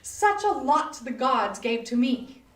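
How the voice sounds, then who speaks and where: A woman giving a dramatic reading of gothic horror prose with deliberate, melodramatic emphasis. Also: high voice, sing-song, a bit bright. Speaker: volunteer or library staff reader. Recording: echoey room, distant mic.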